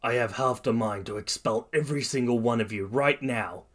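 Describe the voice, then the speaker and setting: A man's voice: medium pitch, normal speed, a bit bright, close to an everyday voice. Man playing a stern, weary hero-school teacher. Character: stern, weary adult male teacher of young heroes.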